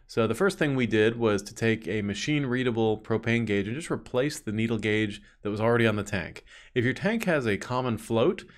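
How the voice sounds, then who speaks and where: A man in his thirties giving an orderly, explanatory product walkthrough as a company presenter. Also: fairly low pitch.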